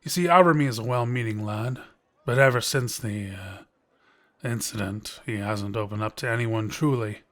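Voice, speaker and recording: An actor playing a sly, knowing quest-giver in a fantasy audio roleplay, measured and teasing as he persuades the listener. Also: lowish voice, a bit bright, natural voice. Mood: knowing, intrigued.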